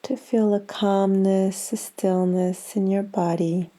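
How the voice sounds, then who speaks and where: A woman guiding a yoga nidra meditation in a calm, soothing, unhurried manner with long pauses. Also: mid-range voice. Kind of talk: guided meditation.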